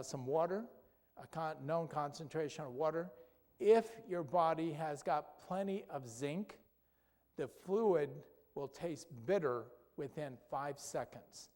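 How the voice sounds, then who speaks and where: Male lecturer, higher-pitched, chatty Q&A style, church hall with long reverb. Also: a little mellow. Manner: conversational, asks the audience questions, tag "okay?". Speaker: doctor giving a health talk. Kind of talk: health lecture with audience questions.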